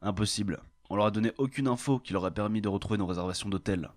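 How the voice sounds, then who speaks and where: A man's voice: on the low side, quick pace, close to an everyday voice. A man playing a bold, self-assured adventurer in a fantasy audio drama, speaking assertively. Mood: confident. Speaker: voice actor in an amateur audio fiction. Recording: clear background noise and ambience.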